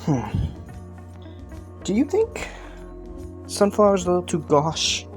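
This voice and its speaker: A low-pitched young prince played by a woman speaks in an intimate audio drama over faint music. He is courteous and kind, a little hesitant and rambling, with a playful, teasing streak. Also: huge pitch swings, unhurried. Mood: polite, flustered. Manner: polite, hesitant, softly teasing.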